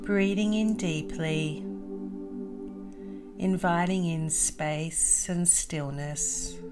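A woman leading a guided meditation as host, calm and soothing, in deliberate phrases with long pauses. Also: fairly low pitch, over music.

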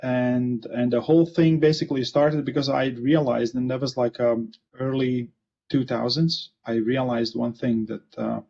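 Gravelly Latvian man on a video call. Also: medium pitch, fairly even intonation, warm tone. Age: 40s. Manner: halting, many fillers and restarts. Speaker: guest author. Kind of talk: casual interview. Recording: muffled lo-fi video call audio.

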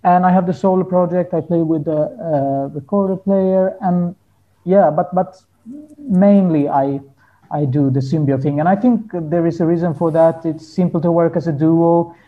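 A man's voice: fairly high pitch, fairly even intonation, normal speed, muffled recording. A man chatting as an interview guest, searching for words in English. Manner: hesitant, searching for words in non-native English. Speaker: interview guest, folk musician. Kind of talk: casual conversational interview.

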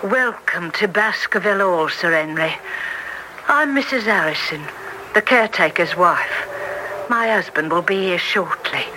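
A woman's voice: fairly low pitch, animated, a little slow. A woman playing an austere, foreboding caretaker's wife in a gothic radio drama, speaking with solemn formality. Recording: noisy vintage radio recording.

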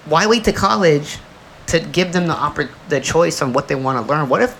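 A man's voice: fairly high pitch, expressive, fairly quick, fairly bright tone. A man co-hosting a casual podcast, rambling emphatically and swearing freely as he argues his opinions with friends. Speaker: podcast co-host. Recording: clearly noisy background.

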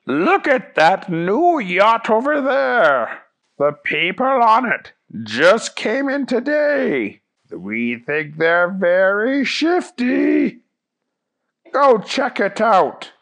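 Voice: mid-range voice, sing-song, very slow, a bit bright, voice pushed up, heavily acted. A storyteller voicing a proud, earnest fish-man superhero with a grand, declaring way of talking. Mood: conspiratorial.

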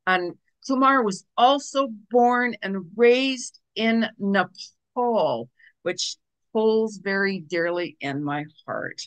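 A woman hosts her own interview podcast with a bright, crisp voice. She delivers the intro with enthusiasm, promoting her channels before she welcomes her guest. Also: a little high, rough recording. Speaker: podcast host.

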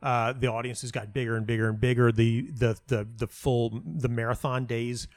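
A man's voice: mid-range voice, steady tone, moderate pace, no room sound. A man giving casual interview answers as a playwright, rambling and often interrupting himself as he tells stories. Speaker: playwright and audio dramatist being interviewed.

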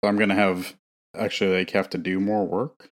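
Easygoing low-voiced podcast co-host man. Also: little pitch movement, a little fast. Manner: easygoing, thoughtful, laughs often. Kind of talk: casual movie podcast chat.

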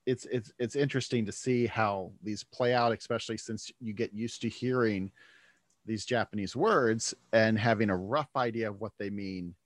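A man's voice: fairly low pitch, normal speed, no room sound, studio quality. A man hosting a podcast, chatting casually and thinking aloud, often starting sentences over. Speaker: podcast host. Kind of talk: casual conversation.

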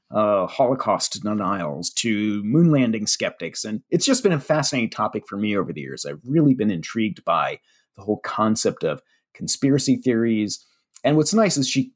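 A man's voice: lowish voice, slightly rough. A man with a Pennsylvania Dutch accent, a media relations director chatting casually about research with fillers and light self-deprecating jokes. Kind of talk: casual podcast chat.

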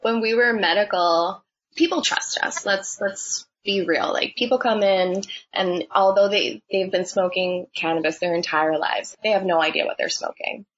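Young woman budtender, husky and gravelly, relaxed chatty interview answers, dull lo-fi recording. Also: mid-range voice, moderate pace. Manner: relaxed, chatty, many fillers. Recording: dull lo-fi sound.

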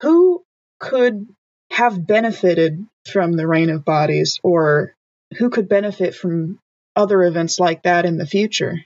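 A woman's voice: fairly low pitch, animated, measured pace, a little mellow, no room sound, highs cut off. A woman playing a tabletop roleplaying game, talking casually with her fellow players.

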